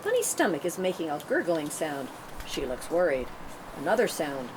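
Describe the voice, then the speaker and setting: Female narrator for a script table read, lowish voice, stage directions, clearly noisy room. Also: huge pitch swings, normal speed.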